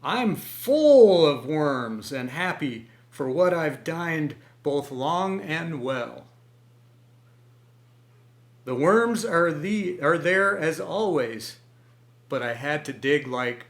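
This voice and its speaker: A man voicing a calm, hardworking little red hen who murmurs drowsily in a storybook reading. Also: fairly high pitch, extremely slow, pauses often, heavily acted. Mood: drowsy. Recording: echoey room.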